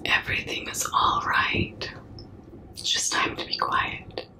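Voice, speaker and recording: A young woman whispers in a breathy, unvoiced ASMR style. She speaks extremely slowly and soothingly, repeating herself in a comforting way. The mic is very close. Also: distant mic. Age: young adult. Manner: slow, hushing, soothing, repetitive. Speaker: ASMR performer. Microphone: close mic, very near the camera.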